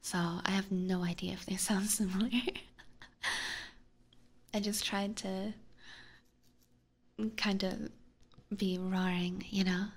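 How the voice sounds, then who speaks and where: A woman hosting an ASMR livestream, chatting with viewers in a soft, breathy whisper close to a binaural microphone. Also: medium pitch, level tone, slow, pauses often. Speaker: livestream host.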